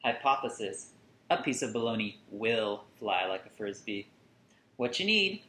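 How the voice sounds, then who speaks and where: A man in his thirties reading a picture book aloud to children with deliberate, singsong phrasing and dramatic emphasis. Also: on the low side, sing-song, bright, forceful tone. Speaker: children's story reader. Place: small furnished room at home, indoors. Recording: roomy indoor echo.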